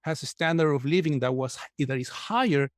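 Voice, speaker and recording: A man's voice: on the high side, fairly quick, no echo, clean studio sound. Earnest Chilean-born man in his fifties. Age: 50s. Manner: earnest, methodical, repeats words while searching. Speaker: guest, mortgage broker. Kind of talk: interview answers.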